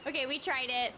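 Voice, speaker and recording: A woman's voice: high-pitched, background noise. A young adult woman chatting casually and playfully while streaming a video game. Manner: playful, giggly, exclamatory. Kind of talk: casual livestream chat while gaming. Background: game music.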